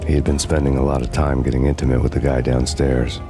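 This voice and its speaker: An actor playing a brooding, cynical avenger, narrating a noir video game in a world-weary deadpan. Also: male voice, very deep voice, fast. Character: brooding, cynical hard-boiled male avenger. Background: music.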